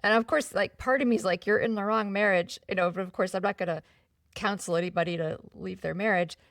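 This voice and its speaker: A woman's voice: mid-range voice, fast, fairly bright tone, dry recording. A woman hosting a podcast who talks hesitantly, repeating words and filling in with short agreements. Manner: hesitant, repeats words, trails into laughs, many short backchannels. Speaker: podcast host. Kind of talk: casual interview chat. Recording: distant mic in a dry room.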